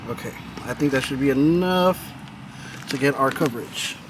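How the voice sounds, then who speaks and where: A man's voice: mid-range voice, moderate pace. A man hosting a casual hands-on DIY vlog outdoors, talking to viewers in an informal, slangy, repetitive way. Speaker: host of a DIY car audio video channel. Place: backyard, outdoors. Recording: noisy outdoor recording, vehicle and ambient sounds.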